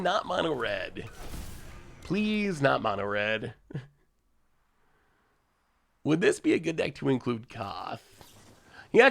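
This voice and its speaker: Man, live-stream game commentary, congested from a cold, lively bouncing pitch, gravelly edge, chatty and laughing. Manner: chatty, laughs often, thanks chat subscribers. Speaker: streamer playing a card game. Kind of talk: casual live-stream commentary while playing.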